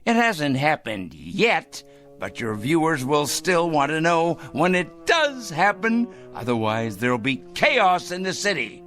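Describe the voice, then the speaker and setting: A man's voice: fairly high pitch, sing-song, measured pace, fairly bright tone, faint music behind. An actor playing a paranoid, smug middle-aged man in a 1960s TV drama, talking theatrically to his pet bird. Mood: scheming. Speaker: fanatical letter-writing crank.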